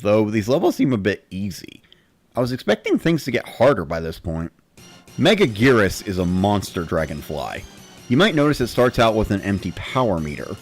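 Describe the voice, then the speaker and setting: A man reading a first-person story as a dramatic narrator, in the style of a gamer recounting play. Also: on the low side.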